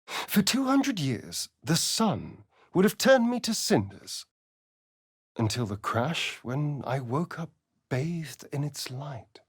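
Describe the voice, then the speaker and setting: Preening vampire rogue, sardonic, theatrical, highly animated intonation, unhurried with dramatic pauses, video game character, very dry recording. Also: male voice, slightly rough, dry recording, heavily acted. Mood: dramatic, haunted.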